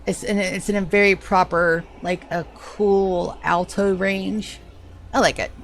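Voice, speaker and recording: A woman's voice: mid-range voice, steady tone, unhurried, soft background music. A woman with a Georgia accent and a slight lisp, chatting casually to viewers while streaming a game. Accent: Southern US, Georgia. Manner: chatty, greets viewers by name. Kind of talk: casual live gameplay commentary.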